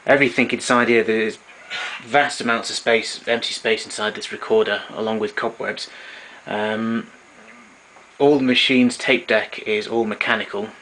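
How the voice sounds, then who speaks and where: Bright, low-voiced man explaining equipment. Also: fairly even intonation, moderate pace, poor audio. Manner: matter-of-fact, explanatory. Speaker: vintage tape recorder collector presenting. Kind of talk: informal technical demonstration narration. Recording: clear background noise, room ambience.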